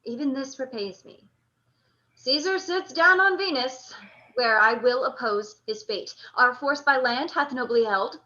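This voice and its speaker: Woman acting proud reckless general, high bright voice, impassioned Shakespearean declamation, radio play, dull lo-fi recording. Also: unhurried. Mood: rallying, proud. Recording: dull lo-fi audio.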